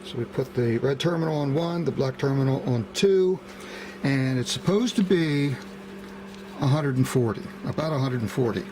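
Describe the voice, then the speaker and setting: A man giving a casual, unscripted demonstration as a hobbyist repairer, halting and methodical. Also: medium pitch, animated. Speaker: hobbyist radio restorer. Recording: clearly noisy hobby video recording.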